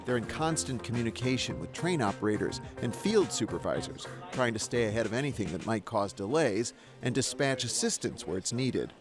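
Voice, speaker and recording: A man's voice: mid-range voice, a little fast, hardly any pauses. A man hosting and narrating a documentary in a wry, conversational way, sometimes asking interview questions. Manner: wry, conversational, lively delivery. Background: music under the narration.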